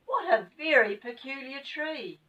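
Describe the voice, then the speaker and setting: Woman as an excitable, wide-eyed storybook girl, higher-pitched. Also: a little slow, recorded from a distance, muffled recording, full character voice. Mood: astonished. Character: astonished young storybook girl, excitable and wary.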